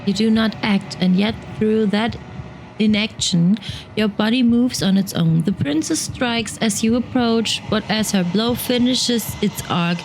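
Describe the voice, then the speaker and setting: A native German woman, a game streamer, reading game text aloud in a measured way. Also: mid-range voice, darkish tone, slight background noise, poor audio.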